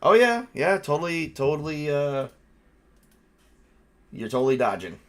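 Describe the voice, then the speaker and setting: A man casually narrating a tabletop role-playing game as game master, excitable and quick to swear. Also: a little high.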